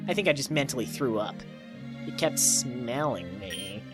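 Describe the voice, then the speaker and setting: A man's voice: mid-range voice, animated, normal speed, loud music behind the voice. A man hosting a let's play, reading a visual novel aloud with jokey, irreverent asides. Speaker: let's play host and reader.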